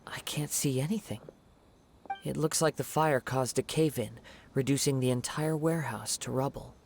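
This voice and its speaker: A man playing a calm young wandering samurai in a video game, speaking softly in measured, formal phrases. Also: medium pitch, vocal fry, a little hiss or hum, close to an everyday voice. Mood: composed, grave. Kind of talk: video game character dialogue.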